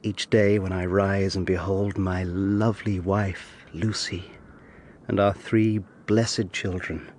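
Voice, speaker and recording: An actor playing an earnest young eighteenth-century lawyer, narrating his tale gravely and intensely in an audio drama. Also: low voice, flat delivery, slow pace, darkish tone, slight background noise. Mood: solemn, reverent.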